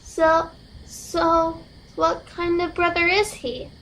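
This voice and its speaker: A woman's voice: very high voice, monotone, slow pace, fairly bright tone, a little hiss or hum, heavily acted, poor audio. A woman playing a peculiar, whiny teenage girl in an amateur audio drama, childishly inquisitive and insistent. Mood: eager, pestering.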